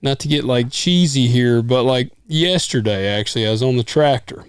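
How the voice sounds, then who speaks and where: A man's voice: mid-range voice, moderate pace, smooth, no echo, clean studio sound. A man in his thirties, a farmer co-hosting a podcast, talking in an easygoing, rambling way with casual swearing. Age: 30s. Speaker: podcast co-host. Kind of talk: casual chat.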